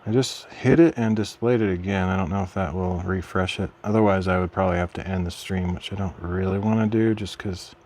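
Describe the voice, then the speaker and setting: A man streaming from his craft room at home, chatting casually while he works, rambling and correcting himself in a mild, low-key way. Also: steady tone, fairly quick, hardly any pauses, a little mellow.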